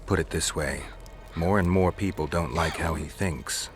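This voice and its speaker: An actor playing a gruff, world-weary witcher in a video game, low and gravelly, speaking in terse, flat lines. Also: measured pace, faint music behind. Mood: cool, probing. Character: gruff, laconic, world-weary male monster-hunting witcher. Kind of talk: video game dialogue acting.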